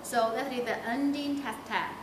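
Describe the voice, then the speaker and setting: A woman's voice: fairly high pitch, moderate pace. A young woman guiding viewers through a factory floor and warehouse, explaining each step and pointing things out. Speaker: factory tour guide. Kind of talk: guided factory walkthrough. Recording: echoey factory hall with loud background noise.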